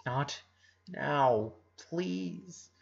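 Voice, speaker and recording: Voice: medium pitch, expressive, drawn-out words, gravelly, lo-fi sound, heavily acted. A narrator voicing an anguished, defensive eleven-year-old boy who pleads and stammers in a dramatic story. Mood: pleading.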